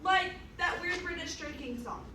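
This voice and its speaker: High bright woman narrating funny history script, theatrical, roomy far mic. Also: moderate pace. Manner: theatrical, sardonic asides. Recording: roomy, distant mic, faint noise.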